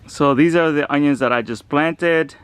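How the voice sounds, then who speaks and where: A man in his thirties from El Salvador, chatting through a casual garden vlog outdoors and gently coaxing a toddler to help. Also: a little high, moderate pace, background noise. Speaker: home gardener vlogger.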